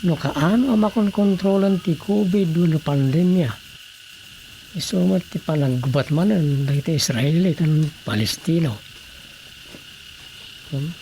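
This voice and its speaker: An actor with an Ilocano Filipino accent playing a married writer who muses aloud to himself in a radio drama. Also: male voice, fairly high pitch, measured pace, pauses often, dark tone, slight background noise, rough recording. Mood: musing, concerned. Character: married man, a writer musing on world troubles.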